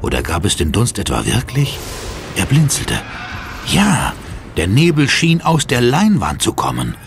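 A man's voice: medium pitch, wildly swooping pitch, measured pace, noisy background. A man narrating a horror audio drama in a suspenseful, theatrical manner. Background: music.

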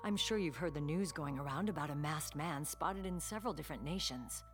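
A woman voicing a stern, commanding middle-aged village leader in an anime dub, authoritative and measured. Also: sits low, faint music behind, natural voice. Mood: grave.

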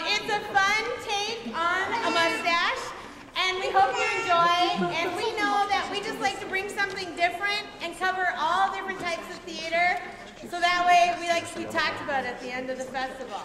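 A woman drama director giving a chatty, unscripted introduction to a play from the stage. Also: extremely high pitch. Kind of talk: informal stage introduction to an audience. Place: stage of a large auditorium. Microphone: far camera in the audience. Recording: echoey theater, clear audience and background noise.

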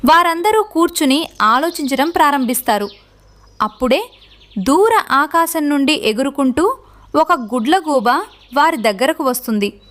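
A woman's voice: high-pitched, expressive, measured pace, fairly bright tone. A woman reading a scripted children's story as narrator.

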